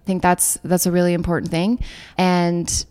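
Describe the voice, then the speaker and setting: A woman teacher speaks reflectively in an interview. She is earnest and slows down to stress her points. Her voice sits a little low for a woman. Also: completely flat delivery, a little mellow, clean tone.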